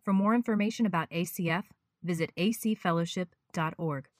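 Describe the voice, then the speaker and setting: A woman's voice: on the low side, a little fast. A woman reading a short scripted announcement for a church podcast.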